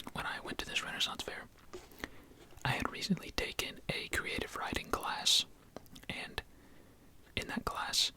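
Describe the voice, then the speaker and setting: A man hosting an ASMR video in a soft, unhurried whisper. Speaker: host of an ASMR video channel.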